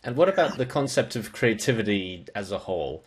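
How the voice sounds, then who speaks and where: A man's voice: lowish voice, expressive, fairly quick, slight background noise. A man from Singapore asking questions conversationally as a podcast interviewer. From grew up in Singapore.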